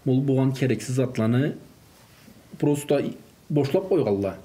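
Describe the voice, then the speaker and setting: A man's voice: medium pitch, animated, moderate pace, warm tone. A man who is a native Karachay-Balkar speaker talks in an informal discussion, mixing in Russian words and phrases. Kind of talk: informal religious discussion on raising children.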